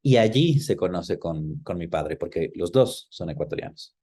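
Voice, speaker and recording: A man's voice: low voice, animated, moderate pace, dark tone, breathy edge. A man speaking conversationally as an interview guest, thoughtfully searching for words and rephrasing as he goes.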